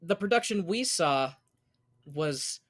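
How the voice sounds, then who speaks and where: A man's voice: on the high side, expressive, takes it slowly. An American man co-hosting a casual podcast, often breaking off and rewording as he goes. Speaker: podcast co-host.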